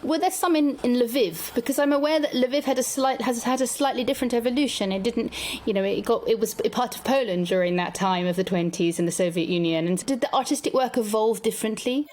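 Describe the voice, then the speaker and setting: A woman's voice: on the high side, a little fast, a little mellow. A woman hosting a podcast, asking curious follow-up questions.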